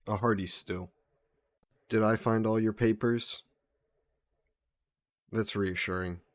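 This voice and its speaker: A man voicing a gentle, modest aristocratic vampire in an intimate audio roleplay, speaking politely and formally with a wistful streak. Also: fairly low pitch, close to an everyday voice. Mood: gentle, attentive. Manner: polite, formal, softly apologetic phrasing. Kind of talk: scripted one-sided audio roleplay, intimate and conversational.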